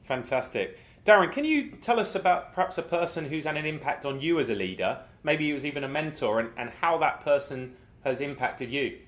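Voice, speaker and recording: A man's voice: fairly high pitch, lively intonation, brisk. A man hosting a podcast interview, encouraging and given to repeating words as he asks questions. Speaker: podcast host.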